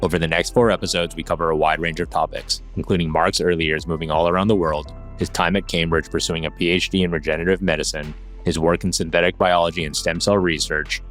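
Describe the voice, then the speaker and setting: A man's voice: sits low, a bit bright. A man from the United States hosting a podcast, reading a scripted intro and then asking questions with lots of hesitations. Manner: hesitant, many fillers and restarts in questions. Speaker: podcast host. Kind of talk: casual interview chat.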